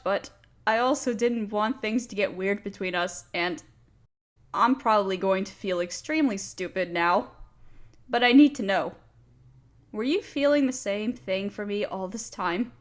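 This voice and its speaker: A fairly high-pitched young woman, played by a female voice actor speaks close and intimately, as in a romantic audio drama. She is nerdy and smitten with her friend. Her delivery is nervous and hesitant, with stammers and sighs. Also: unhurried, natural voice. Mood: relieved, self-reproaching. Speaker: audio roleplay performer. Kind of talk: intimate romantic roleplay acting.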